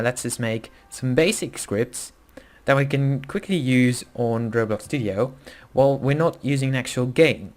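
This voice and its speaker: A young man with a Dutch accent talks through a beginner coding tutorial in English, his second language. His delivery is casual and halting, full of fillers and quick self-corrections. His voice sits at an ordinary middle pitch. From Netherlands. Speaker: tutorial host. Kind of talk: screen-recorded coding tutorial.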